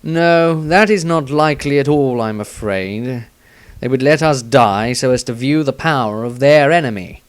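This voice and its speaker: A man voicing a wary, grim male investigator in a fantasy story, deliberate and brooding in a formal way. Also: mid-range voice, clear, resonant tone, no echo, natural voice.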